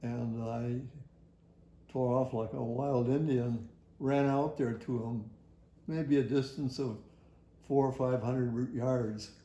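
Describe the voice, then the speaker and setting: An older man tells a personal story from his working life in a halting, rambling, folksy way. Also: medium pitch, fairly even intonation, dark tone, roomy sound, highs cut off. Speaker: retired air traffic facility worker. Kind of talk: personal oral history storytelling.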